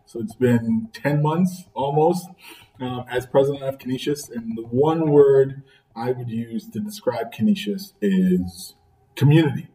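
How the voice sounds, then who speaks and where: A middle-aged man from Trinidad and Tobago speaks with a mellow, warm tone, measured and earnest. He builds his answers by repeating key phrases. His voice sits a little back from the mic. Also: medium pitch. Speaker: college president, podcast guest. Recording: voice picked up from a distance.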